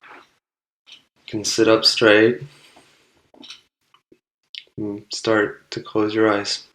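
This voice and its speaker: A man leading a guided meditation, speaking calmly and unhurriedly with long pauses between short instructions. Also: lowish voice, poor audio.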